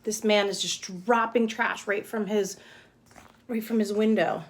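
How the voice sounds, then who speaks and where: A woman's voice: mid-range voice, steady tone, a little slow. A woman kindergarten teacher giving a simple lesson and picture-book read-aloud, explaining step by step and checking with questions.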